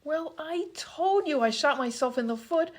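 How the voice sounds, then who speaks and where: A woman plays a long-suffering patient in a radio comedy. Her voice is high and very animated, with an incredulous, sardonic way of answering back. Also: normal speed. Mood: exasperated. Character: wisecracking, exasperated female patient with a broken foot. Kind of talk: comedy radio-play acting.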